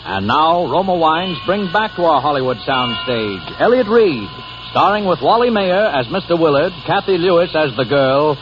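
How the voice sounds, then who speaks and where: High-pitched radio announcer over music. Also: male voice, lively intonation, moderate pace, few pauses. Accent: American. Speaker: program announcer. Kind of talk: dramatic 1940s radio show introduction. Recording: dull old radio recording.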